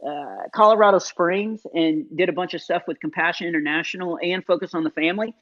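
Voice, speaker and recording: A man, a comedian guest in an interview, telling self-deprecating stories in an animated, casual way with many fillers. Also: high-pitched, fairly quick. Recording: dull lo-fi sound.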